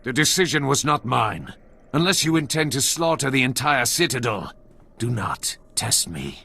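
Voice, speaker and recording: A cold Sith lord from a video game. The voice is husky and heavy with gravel, and he hands down each sentence as an imperious, deliberate pronouncement, full of threat. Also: mid-range voice, very animated, sharp tone, heavily acted. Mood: menacing. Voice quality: raspy, gravelly. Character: cold menacing male Sith lord, a ruthless military commander.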